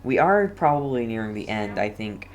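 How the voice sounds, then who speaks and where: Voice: medium pitch, very animated, moderate pace, dull audio, soft background music. A game streamer giving casual, chatty livestream commentary with light teasing.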